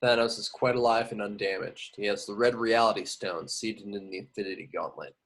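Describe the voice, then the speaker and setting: A man with a fairly low voice narrates stage directions. It is a scripted read, delivered as part of an amateur table read. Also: steady tone, a little fast, fairly bright tone.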